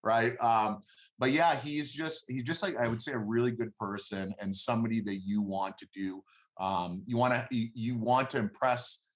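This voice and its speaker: A man in his thirties whose first language is Hebrew, answering interview questions in a halting, conversational way, repeating words as he thinks aloud. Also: mid-range voice. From born in Israel, lived there until seven. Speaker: interview guest, former college wrestler.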